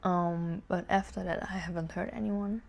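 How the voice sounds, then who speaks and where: A woman's voice: on the low side, steady tone, normal speed, vocal fry, noisy background. A woman book vlogger chatting casually and informally to camera, musing aloud with some hesitation. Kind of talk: casual chat to camera, answering tag questions.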